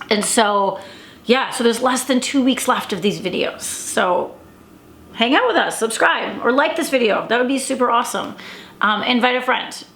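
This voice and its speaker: A woman's voice: fairly high pitch, a bit bright, reverberant. A woman talking to camera in a casual daily vlog, rambling with many fillers and restarts, a little stuffy and congested. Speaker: vlogger and coach.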